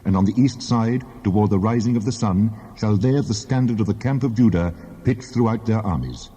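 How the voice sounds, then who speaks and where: A man playing God in a dramatized scripture reading, solemn, measured and commanding. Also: lowish voice, dark tone, husky. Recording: long cavernous reverb, muffled sound.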